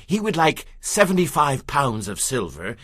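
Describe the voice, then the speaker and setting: An actor playing a sly, greedy servant with a scheming manner in a dramatized audio Bible. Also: male voice, medium pitch, sing-song, moderate pace, a bit bright, husky, dry recording, studio quality. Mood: falsely innocent.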